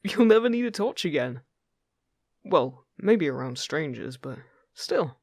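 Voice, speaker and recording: A man playing a friendly, upbeat fairy guide in an intimate fantasy roleplay, chatty, gentle and encouraging with the listener. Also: a little high, big pitch swings, unhurried, gravelly, breathy edge, dry recording, clean studio sound, heavily acted. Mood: encouraging, delighted. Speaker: voice actor in an audio roleplay.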